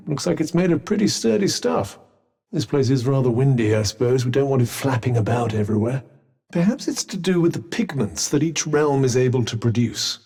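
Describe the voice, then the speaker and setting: A man's voice: medium pitch, a little mellow, breathy edge. A man with a British accent voicing a haughty, sardonic magical cuff in a video game, speaking with dry, articulate understatement. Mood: dry, wry. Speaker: voice actor. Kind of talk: video game companion dialogue.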